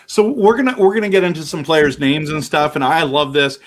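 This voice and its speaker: A man's voice: a little high, fairly quick. A man hosting a fan interview, asking questions as an enthusiastic fan who laughs easily. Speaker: host of a fan channel, interviewer.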